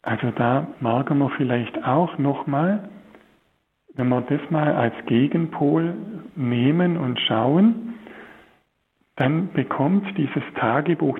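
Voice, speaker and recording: A priest talks slowly and deliberately, hesitating often as he reaches for the right word. His voice has a husky grain. It comes through thin and tinny, like a narrow-band radio broadcast. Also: mid-range voice. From Swabia, Germany. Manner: slow, searching, many hesitations. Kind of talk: spiritual talk on a religious broadcast.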